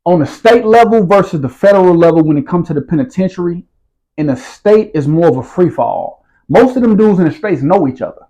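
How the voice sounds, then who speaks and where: Interview guest: man, slightly high voice, relaxed streetwise delivery, keeps checking in with the listener. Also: fairly quick, low-quality recording. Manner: streetwise slang, repeats "you feel what I'm saying". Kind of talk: casual storytelling interview.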